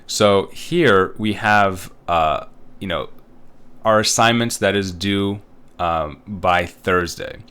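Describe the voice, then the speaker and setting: A man in his thirties with a low, deep voice, talking through an online course page as a college instructor. He rambles casually, leaning on fillers and tag questions as he explains. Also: slow, fairly bright tone, clear, resonant tone. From Uzbekistan. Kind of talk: recorded online course walkthrough.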